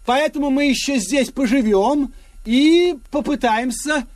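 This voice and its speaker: An actor in a radio drama playing an earnest, well-meaning political candidate who sounds conciliatory. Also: male voice, very high-pitched, a little slow, no echo. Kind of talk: Soviet radio drama acting.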